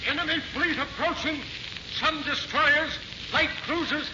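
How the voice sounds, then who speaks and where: An actor playing a tense military lookout in a 1940s radio drama, calling out clipped reports. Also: male voice, very high-pitched, fairly even intonation, measured pace. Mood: tense, alert. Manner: shouting out reports in clipped phrases. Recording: muffled, hissy 1940s radio recording.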